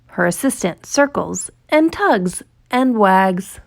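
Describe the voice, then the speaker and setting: A woman reads a children's picture book at an unhurried pace, with noticeable creak in her voice. Her delivery is expressive and deliberate, drawing out key words for young listeners. Her pitch sits in a middle range for a woman. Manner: expressive, deliberate storytelling with drawn-out emphasis. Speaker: storytime reader.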